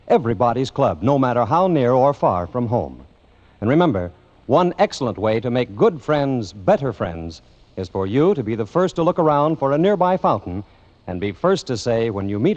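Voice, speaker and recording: A man announcing on an old-time radio show, reading scripted copy in a measured, polished delivery. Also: medium pitch, a little mellow. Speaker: radio announcer and program host. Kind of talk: vintage radio announcing, scripted ad and song introduction. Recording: dull old radio broadcast, faint music.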